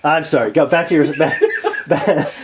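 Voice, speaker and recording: Male interviewer, slightly raised pitch. Informal, wandering conversational delivery with a joking streak. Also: unhurried, very noisy.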